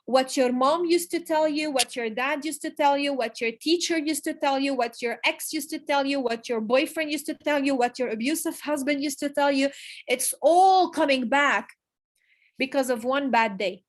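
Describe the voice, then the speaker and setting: A woman giving an emphatic motivational talk to her business team, repeating key phrases and pausing for effect. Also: high voice. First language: Arabic. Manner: emphatic, repetitive, pauses for emphasis. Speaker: network marketing leader giving a motivational talk. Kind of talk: motivational speech.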